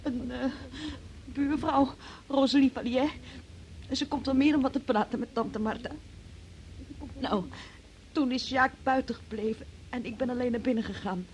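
A young woman under questioning in a radio drama, her voice high-pitched. She is evasive and defensive, giving her account haltingly on a faintly noisy old recording. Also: darkish tone. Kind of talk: Dutch radio play acting.

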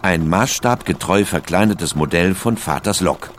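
A man narrating a documentary in a calm, measured storytelling manner. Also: low voice, a little hiss or hum.

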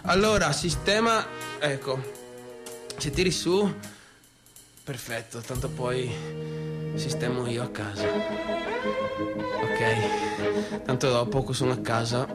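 Actor as volatile young northeastern Italian boss. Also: male voice, mid-range voice, over loud music, close to an everyday voice. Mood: fussing, placating. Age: young adult. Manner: colloquial, halting, repetitive, swearing. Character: young Italian small-factory boss, obsessive, volatile, aggrieved. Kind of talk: theatrical monologue for radio theatre.

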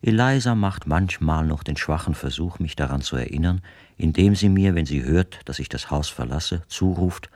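A man's voice: low voice, lively intonation, normal speed, darkish tone, dry recording. A man playing a reflective writer, narrating in the first person in a radio play. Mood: calm, reflective. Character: reflective male writer recounting his life in captivity.

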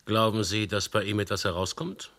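A calm commissioner, radio play, lowish voice, bright tone, politely insistent. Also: male voice, fairly quick, vocal fry. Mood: cool. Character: calm, probing male police commissioner. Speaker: police commissioner. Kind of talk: German radio drama acting.

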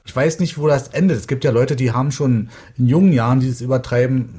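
A man in a casual group discussion, haltingly repeating the openings of his phrases. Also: medium pitch, mellow, husky.

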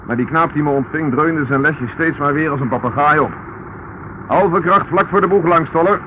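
An actor playing a composed, shrewd police inspector in a Dutch radio play, brisk and authoritative with dry irony. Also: male voice, on the high side, natural voice. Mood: alert, commanding. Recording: muffled, noisy old radio play recording.

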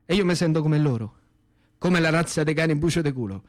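A man's voice: fairly high pitch, a little fast. A man with a central Italian accent performing a dramatic storytelling monologue in a colloquial, rhythmic, theatrical way. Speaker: actor and storyteller.

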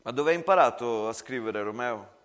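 A man's voice: mid-range voice, lively intonation, normal speed, close to an everyday voice. Man acting a fatherly, direct army captain. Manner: plain, direct, paternal. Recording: long reverb, loud guitar music behind.

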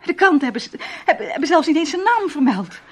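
A woman's voice: high-pitched, very animated, quick pace. An actress in a Dutch radio play playing an anxious, fragile woman who speaks hesitantly and repeats her words. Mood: troubled, anxious. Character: anxious, fragile stage actress, sister of a missing man.

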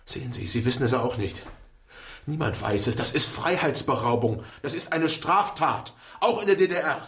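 An actor playing an imprisoned private detective in a German radio crime drama, terse and deliberate. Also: male voice, mid-range voice, expressive, a bit bright, a little hiss or hum. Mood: indignant. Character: indignant imprisoned East Berlin private detective, weary first-person narrator.

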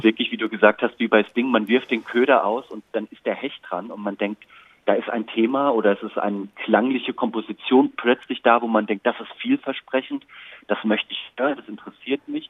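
A man with a fairly low, slightly husky voice is being interviewed. He explains his creative work in a considered, articulate and reflective way. The sound is narrow and muffled, like a phone connection. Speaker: interviewed radio play author and composer.

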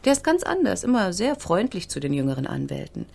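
Loyal female confidante, slightly low voice, earnest, emphatic, indignant, radio crime drama. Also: big pitch swings, brisk, gravelly, darkish tone, slightly rough, full character voice. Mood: reassuring, earnest.

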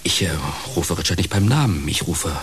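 A volatile, sleepless fallen television celebrity in a radio drama. The voice is low and creaky, brooding and theatrical, with halting speech that can swing widely in pitch. A light hiss sits on the recording. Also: male voice, lively intonation, a bit bright. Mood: uneasy, haunted.

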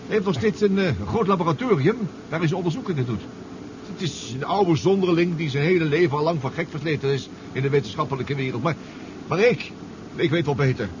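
A man's voice: fairly high pitch, fairly quick, lightly acted. A man acting a polite, eager scientist in a radio drama, explaining things a little hesitantly. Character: eager, polite scientist reuniting with an old colleague. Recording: muffled, noisy old radio recording.

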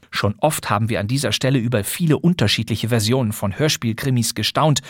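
A man's voice: medium pitch, slightly rough, dry recording. Chatty male podcast host, close dry sound. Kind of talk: scripted presentation of classic radio plays.

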